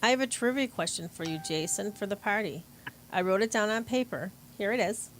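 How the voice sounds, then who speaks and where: A woman's voice: medium pitch, lively intonation, fairly quick, close to an everyday voice, poor audio. A woman acting in an amateur audio play as a health-minded party guest, with faint noise behind her. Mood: eager.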